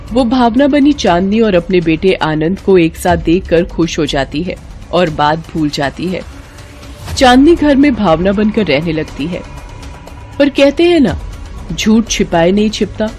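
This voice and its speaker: A woman's voice: fairly low pitch, darkish tone. A woman reading a scripted moral bedtime story as narrator, with music in the background.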